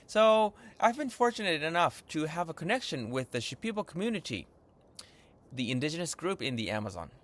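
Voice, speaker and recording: Host, man, 30s, Japanese accent; upbeat, animated delivery, voice on the higher side. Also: fairly quick, slight background noise. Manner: eager, earnest, upbeat. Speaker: channel host. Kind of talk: enthusiastic vlog-style lesson intro.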